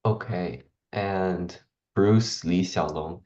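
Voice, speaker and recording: A man's voice: low-pitched, drawn-out words, darkish tone, muffled recording. A man organizing an online class, calling on participants one by one in a brief, matter-of-fact way. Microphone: video call.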